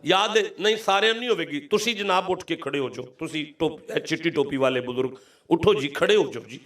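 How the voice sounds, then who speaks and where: High-pitched Punjabi male preacher. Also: fairly quick, fairly bright tone, recorded from a distance. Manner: exhortative, repeats phrases for emphasis and questions listeners directly. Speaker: Islamic preacher. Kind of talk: religious sermon to a congregation, storytelling with calls for audience response.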